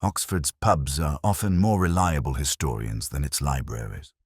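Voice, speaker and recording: A man reading a scripted first-person detective story in a measured, literary, brooding manner. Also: rumbling low, slightly rough, no echo, clean studio sound. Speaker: narrator.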